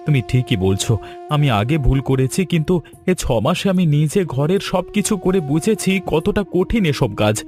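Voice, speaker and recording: A man acts out a young village husband in a cartoon family drama. His voice sits on the high side for a man, halting and full of remorse as he pleads. Faint music plays behind him. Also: expressive, a little mellow, close to an everyday voice. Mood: remorseful, pleading. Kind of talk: Bengali cartoon story dialogue.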